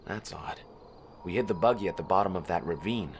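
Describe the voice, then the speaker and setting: Casting: young Delta sergeant, deep voice, wry, casual, cocky, audio drama. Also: male voice, a little fast, a little hiss or hum. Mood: grumbling. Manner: casual, wisecracking.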